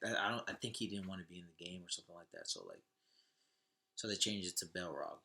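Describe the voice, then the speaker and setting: A man chatting casually on a podcast, animated and repeating phrases for emphasis in loose back-and-forth talk. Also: low-pitched, fast, gravelly. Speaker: podcast co-host or guest. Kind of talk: casual podcast chat about video game movies.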